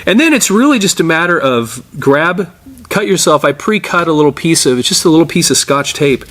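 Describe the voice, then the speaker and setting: A man's voice: on the high side, expressive, a little fast, a bit bright. Middle-aged man giving a casual hands-on tutorial. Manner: informal, step-by-step explaining while working with his hands. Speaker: tape repair and digitizing technician, presenter.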